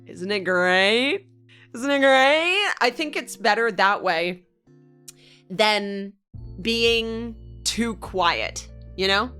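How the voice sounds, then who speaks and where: A woman's voice: mid-range voice, lively intonation, very slow, bright, forceful tone, clean tone, soft background music. Bubbly, giggly young woman streamer. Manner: bubbly, giggly, greets chat by name. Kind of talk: casual chat with live viewers. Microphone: desk mic, loud.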